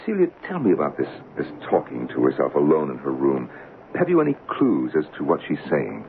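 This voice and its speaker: A calm, protective family friend, soothing and gently insistent,. His voice is extremely deep and gravelly yet lively in pitch, heard on a tinny, hissy old radio broadcast. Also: fairly quick, full character voice. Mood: concerned. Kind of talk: 1970s radio drama acting.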